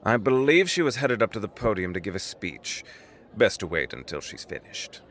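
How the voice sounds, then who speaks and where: A man playing a polite businessman gentleman with dry humor and a courteous, formal manner in an audio drama. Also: lowish voice, big pitch swings, normal speed, a little hiss or hum. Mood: courteous, wry.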